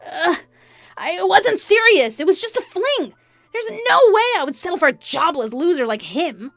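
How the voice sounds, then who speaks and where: A woman voicing a shrill, indignant and defensive mistress in a narrated story. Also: sing-song, moderate pace, gravelly, no room sound, clean studio sound, faint music behind, exaggerated voice. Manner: shouting, defensive. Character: shrill, indignant, brazen adulterous woman, former best friend.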